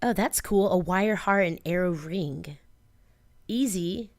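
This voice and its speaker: A woman's voice: fairly low pitch, animated, slow pace, darkish tone, dry recording. A woman co-hosting a radio show in casual banter, upbeat and gushing over sweet ideas. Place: radio studio.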